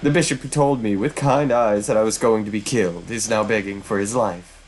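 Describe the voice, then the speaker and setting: A man's voice: lowish voice, a little fast, slight background noise, lightly acted, rough recording. A man voicing a vengeful witch woman from hell, speaking in a theatrical, formal and taunting way. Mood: cruel, mock sorrow.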